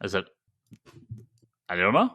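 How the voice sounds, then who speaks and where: A man hosting a podcast, chatting informally and rambling through shout-outs and plugs. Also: low voice, huge pitch swings, measured pace, dry recording. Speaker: podcast host.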